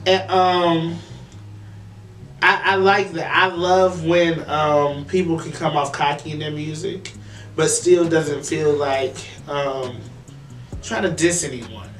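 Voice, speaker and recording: Chatty higher-voiced man, echoey room. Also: slow pace. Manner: relaxed, chatty, many fillers, playful exclamations. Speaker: interviewer. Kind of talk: casual interview chat. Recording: echoey room, distant mic, faint music.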